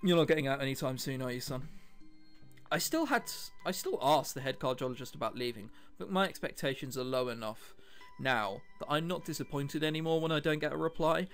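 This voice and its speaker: A man's voice: a little high, lively intonation, a little fast, clear, resonant tone, soft background music. A British man hosting a let's play, reading a visual novel aloud and adding chatty, jokey commentary. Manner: jokey, chatty asides between read passages.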